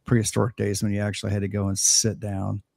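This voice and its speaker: An older man reminisces casually on a ham radio show. His voice sits fairly low, and he halts often, restarting and repeating his words. The recording is dry and close. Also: completely flat delivery, vocal fry, darkish tone, dry recording. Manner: halting, stammers and repeats words. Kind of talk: casual chat.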